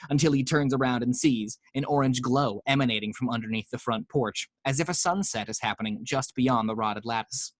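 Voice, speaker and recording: A man's voice: medium pitch, a bit bright, no echo. A man narrating the action lines at a home table read, with chatty asides to the listeners.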